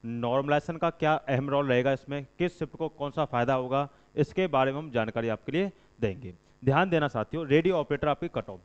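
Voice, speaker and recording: A man's voice: medium pitch, brisk, few pauses, clean tone. A man explains things informally to students as an exam-coaching presenter, instructing and repeating points for emphasis. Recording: dull lo-fi recording, long reverb.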